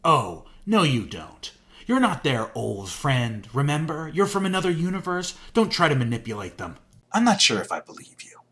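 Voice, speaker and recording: An actor playing a stern, distrustful rival in a video game, terse, dry and confrontational. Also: male voice, fairly high pitch, big pitch swings, normal speed, a bit bright. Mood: stern warning.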